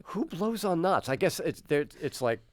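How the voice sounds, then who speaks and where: Radio host, a man with a smooth voice, curious and conversational, close-miked in a dead room. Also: fairly high pitch, big pitch swings, moderate pace, no room sound. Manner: curious, conversational, wordy questions. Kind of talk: radio interview. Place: radio studio. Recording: dry studio sound.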